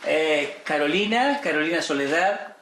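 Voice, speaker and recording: A man past fifty speaks casually as an interviewee. His voice sits a little high, and there is faint noise behind him. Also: lively intonation, unhurried, fairly bright tone. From born in Galicia, raised in Argentina. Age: 50s or older. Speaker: emigrant interviewee, doctor. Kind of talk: casual personal introduction.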